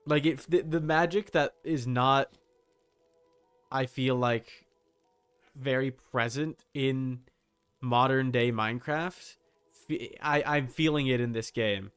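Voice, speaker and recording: A man's voice: mid-range voice, steady tone, takes it slowly, long pauses, fairly bright tone, clear, resonant tone, faint music behind. A man giving casual livestream commentary on a game, thinking aloud in slangy language with some swearing. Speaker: livestream host.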